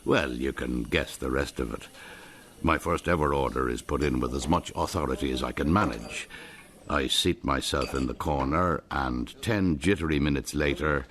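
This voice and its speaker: A man's voice: extremely low pitch, moderate pace, fairly bright tone. A man with an Irish accent narrating a radio drama in a wry, ornate storytelling manner.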